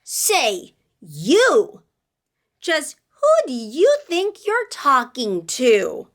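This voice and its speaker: Woman acting out a high-pitched bossy schoolgirl bully. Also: huge pitch swings, drawn-out words, a bit bright, full character voice. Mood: menacing. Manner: snarling, threatening, domineering. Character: bossy mean schoolgirl bully, growling and howling. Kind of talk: children's storybook character voice.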